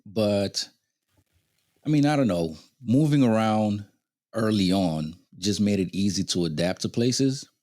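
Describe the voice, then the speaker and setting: A man in his thirties with a deep, low voice tells his life story as an interview guest, laughing easily as he goes. He grew up in Haiti and Venezuela. The sound is close and dry, with no room around it. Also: animated, measured pace, a little mellow, no room sound. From Haiti, born in Venezuela. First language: Haitian Creole or Spanish. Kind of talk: casual interview conversation.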